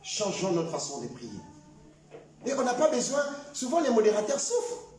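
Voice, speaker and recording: A male pastor preaching in a very high, strained voice that often rises to a shout. He is heard from a distance in a big, echoey hall, with the sound ringing around the room. He acts out sample prayers as he speaks. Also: wildly swooping pitch, normal speed, background noise. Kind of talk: sermon.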